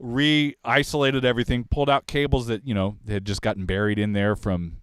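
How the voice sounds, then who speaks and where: A man's voice: medium pitch, flat delivery, fairly quick, smooth, dry recording. A man from Kansas hosting a casual chat about wiring a recording studio, rambling and restarting his questions with chuckles. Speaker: podcast host. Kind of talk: casual studio shop talk.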